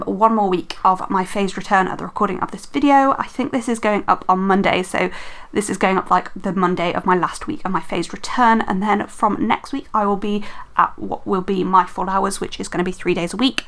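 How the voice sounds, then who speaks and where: Young woman, casual voice-over, rambling, many ums and restarts, slightly dry throat, quiet home room. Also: mid-range voice, vocal fry. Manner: rambling, chatty, with many ums and self-corrections. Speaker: planner vlogger.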